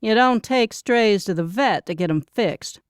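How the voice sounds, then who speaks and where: Narrator lady as stubborn self-conscious single woman, defensive banter, lively pitch, audiobook character. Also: unhurried, clear, resonant tone, no room sound, studio quality, lightly acted. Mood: skeptical.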